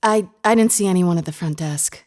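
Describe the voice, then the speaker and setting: A woman's voice: on the low side, a little mellow, close to an everyday voice. A woman playing an anxious, earnest grief counselor in an audio drama, speaking in a hesitant, insistent way. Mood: anxious, urgent. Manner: hesitant, halting, earnest pleading.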